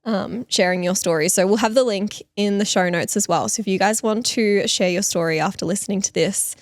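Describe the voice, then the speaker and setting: A woman's voice: mid-range voice, brisk, dry recording. A woman co-hosting a podcast, chatting and reading listener stories aloud. Her voice is partly lost, and she laughs often. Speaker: podcast co-host.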